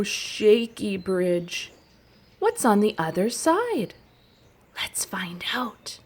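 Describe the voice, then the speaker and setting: A woman who teaches young children, chatting and reading aloud gently in simple, unhurried phrases with little explanations. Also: medium pitch. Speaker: childcare educator leading story and song time for toddlers.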